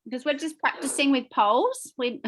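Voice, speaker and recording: A woman co-hosting an online class, upbeat and quick to laugh as she reads out poll results. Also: high-pitched, fairly bright tone. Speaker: co-host.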